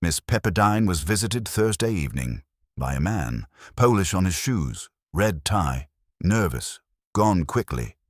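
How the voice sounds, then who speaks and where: Male reader as thoughtful English police inspector, mystery story: very low voice, measured and probing, dry close sound. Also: very animated, dry recording, exaggerated voice. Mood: deliberate, thoughtful. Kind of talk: dramatised mystery audiobook.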